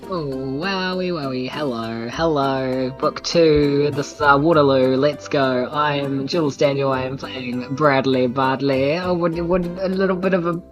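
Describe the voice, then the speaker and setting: A woman's voice: rumbling low, steady tone, measured pace, few pauses, faint music behind. A woman chatting casually and playfully as a podcast player. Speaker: podcast cast member, player.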